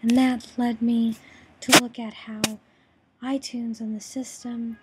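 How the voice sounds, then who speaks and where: A young woman with a hoarse, raspy voice after throat surgery, presenting her course project over a screen recording with many ums and restarts. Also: fairly high pitch, flat delivery, slow, heavy background noise. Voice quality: raspy, breathy.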